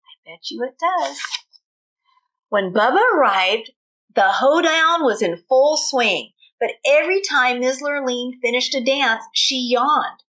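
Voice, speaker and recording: A woman reading a children's storybook aloud in an expressive manner, as a teacher does for young children. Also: sits high, takes it slowly, low-quality recording.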